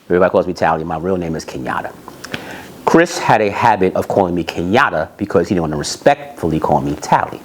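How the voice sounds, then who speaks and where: Animated male storyteller with swooping pitch. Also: quick pace, slight background noise. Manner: emphatic, repeats phrases, acts out dialogue. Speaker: storyteller, music industry executive.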